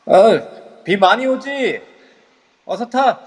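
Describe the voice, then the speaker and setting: A man playing a young male group leader who gives brisk orders in an amateur audio drama. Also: high voice. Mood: lively. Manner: animated, commanding. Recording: reverb-heavy online audio.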